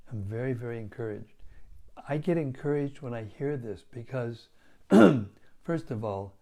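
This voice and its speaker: A man with a hoarse, failing voice reading scripture and reflecting on it as a spiritual teacher, in measured devotional tones. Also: fairly low pitch, warm tone. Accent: British English. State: losing his voice, hoarse.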